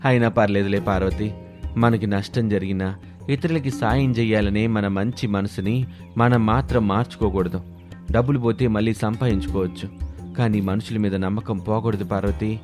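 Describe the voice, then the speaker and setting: Low-voiced trusting village husband played by a man. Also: steady tone, normal speed, a little mellow, soft background music, close to an everyday voice. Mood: forgiving. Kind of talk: cartoon moral story.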